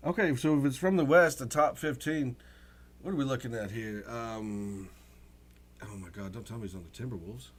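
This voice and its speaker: A man's voice: medium pitch, normal speed. A man co-hosting a sports talk show in casual banter, blunt and teasing with his wisecracks.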